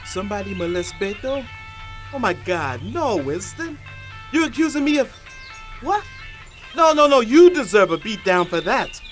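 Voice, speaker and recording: A man's voice: high-pitched, very animated, normal speed, a bit bright, soft background music. A man with a Caribbean accent playing a feisty, indignant gardener and doting father in a stage drama. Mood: indignant, shocked.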